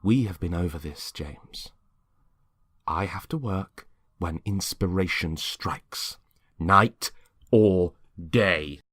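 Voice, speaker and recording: A man's voice: sits low, slow pace, a bit bright, no room sound, clean studio sound, exaggerated voice. A man playing a stubborn, obsessive artist neighbour in a dramatised story reading, speaking defensively and insistently.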